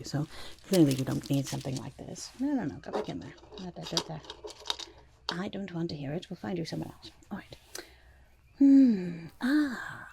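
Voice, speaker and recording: A woman voicing a scheming, chatty goblin market trader in a breathy, raspy whisper, as an ASMR roleplay with sly, coaxing sales patter. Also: darkish tone. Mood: coaxing, fussy, then sly, salesy. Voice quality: breathy, raspy whisper with creaky low murmurs.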